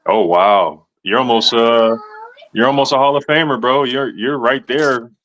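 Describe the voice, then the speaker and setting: A man's voice: medium pitch, a little slow, a bit bright, a little hiss or hum. A man in his thirties hosting a casual interview, laid-back and slangy, calling people man and bro. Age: 30s. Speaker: host.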